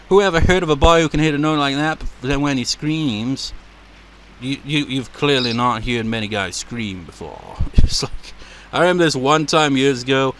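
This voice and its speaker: A man with a deep voice giving casual, rambling commentary as a let's play host, laughing and swearing as he goes. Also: a bit bright, a little hiss or hum. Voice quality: naturally deep voice.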